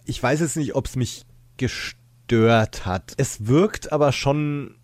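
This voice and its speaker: A man's voice: mid-range voice, takes it slowly, a little mellow, no echo. A man speaking as a podcast guest in casual discussion, reflective and a little tentative.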